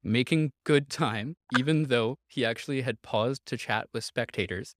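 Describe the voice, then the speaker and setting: A man's voice: medium pitch, normal speed, no room sound. A man hosting a podcast, telling a history story in a casual, comedic way with wry asides and frequent chuckles.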